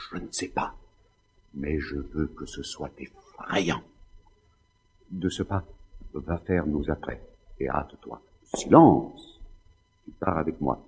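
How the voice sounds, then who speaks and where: A man's voice: rumbling low, gravelly, heavily acted. An actor playing a proud, scheming Spanish nobleman in a classical French stage drama, in a theatrical, declamatory manner. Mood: scheming, vengeful. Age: middle-aged. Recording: slightly dull, long hall reverb.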